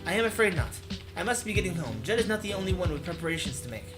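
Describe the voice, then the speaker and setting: A man reads the part of a young woman pro gamer in a visual novel, reserved and formal. The voice is high and measured in its phrasing, over clear background music. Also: expressive. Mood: polite.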